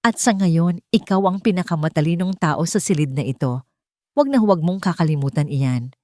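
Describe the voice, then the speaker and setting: A woman's voice: lowish voice, expressive, brisk, warm tone, dry recording, lightly acted. A woman voicing a stern but sincere wealthy male boss in a story, firm and commanding. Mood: firm reassurance.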